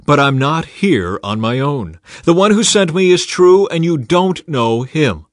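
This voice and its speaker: A man voicing Jesus as a calm, authoritative male teacher who speaks slowly and emphatically. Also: mid-range voice, no echo, studio quality, natural voice. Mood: earnest. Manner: earnest, slow and emphatic.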